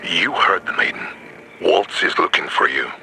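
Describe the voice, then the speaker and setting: Low-voiced, wary older lookout. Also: male voice, expressive, sharp tone, soft background music, exaggerated voice. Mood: worried, fatherly. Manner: measured, cautioning, gruff. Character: wary, fatherly older male radio lookout. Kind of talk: video game character dialogue. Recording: noisy, with engine-like ambience behind.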